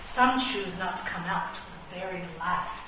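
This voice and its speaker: A woman's voice: lowish voice, normal speed, natural voice. A woman acting a bookish, brooding chess pawn with a poet's soul in a comic radio play, with pompous, precise, theatrical delivery. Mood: gloomy, grandiose. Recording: roomy, distant, noisy stage recording.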